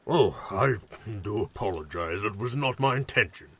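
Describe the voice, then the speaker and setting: A man playing a gentle, formal, scaly reptilian peacemaker in a fantasy audio drama, polite and measured. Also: fairly low pitch, lively intonation, husky, exaggerated voice. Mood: courteous, calm.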